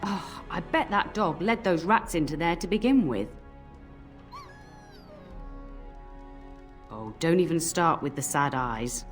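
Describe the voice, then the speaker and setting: An actress playing an irascible, bossy castle cook in a fantasy video game, scolding and blustering. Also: female voice, low voice, normal speed, soft background music, natural voice. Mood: grumbling.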